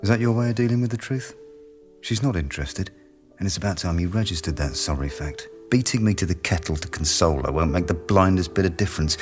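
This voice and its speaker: A man performs a domineering British research chief in a radio drama. His voice sits very deep, and he speaks with sardonic, brusque scorn, with music behind him. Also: quick pace, lightly acted. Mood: mocking. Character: domineering, sneering male boss of a research team. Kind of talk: naturalistic radio drama acting.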